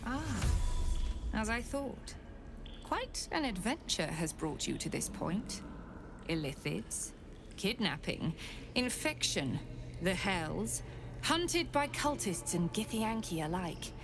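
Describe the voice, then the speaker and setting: A haughty female cult commander in a fantasy video game. She is formal and calculating, with menace carried in lively pitch swings, over clear background ambience. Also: takes it slowly, background noise. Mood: haughty, probing. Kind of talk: dramatic video game acting.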